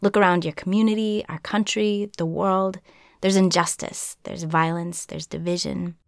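A woman from Minnesota hosting a podcast, narrating a script in an earnest, measured way. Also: on the low side, fairly even intonation, gravelly, no room sound, clean studio sound. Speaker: podcast host.

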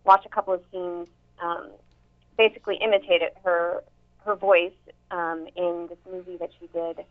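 A young woman with a somewhat deep, low voice talks about her acting work in a loose, chatty way, full of "um" and "like". The sound is thin and tinny, like a phone line. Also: level tone, normal speed. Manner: chatty, many fillers and restarts. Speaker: actress and voice actor. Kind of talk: casual interview chat.

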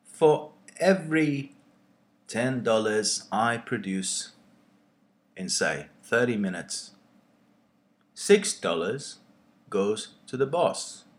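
A male poet speaking in a thoughtful, deliberate way, with pauses between short phrases. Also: on the low side, animated.

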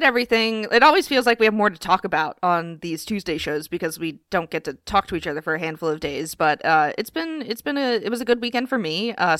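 A woman's voice: lowish voice, a bit bright, no echo, studio quality. Stuffy-voiced woman hosting a podcast. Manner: chatty, with many fillers. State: congested, stuffy. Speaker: podcast co-host. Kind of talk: casual sports podcast chat.

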